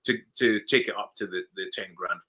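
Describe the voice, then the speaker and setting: A man's voice: fairly low pitch, a little fast, pushed and bright. A Scottish man, a film director, chatting in an interview and telling stories in a loose, repeating way as he acts out what people said. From Scotland. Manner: chatty storyteller who repeats words and acts out dialogue. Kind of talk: casual interview chat.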